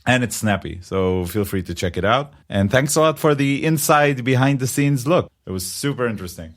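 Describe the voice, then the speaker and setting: A man's voice: medium pitch, lively intonation, moderate pace. A man with an Israeli accent co-hosting a podcast, chatting casually and joking about himself. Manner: chatty, joking, self-deprecating. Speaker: podcast co-host.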